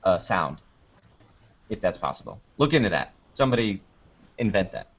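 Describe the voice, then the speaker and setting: A man's voice: low voice, big pitch swings, normal speed, a bit bright, breathy edge, highs cut off. A man chatting casually and jokingly as a guest being interviewed, with a playful way of talking. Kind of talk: casual chat.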